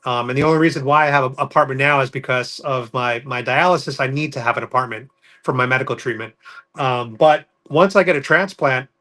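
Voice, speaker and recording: Male podcast guest from New York, bright and forward tone, level matter-of-fact delivery. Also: mid-range voice, a little fast. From New York City. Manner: matter-of-fact, orderly storytelling. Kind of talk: casual podcast interview.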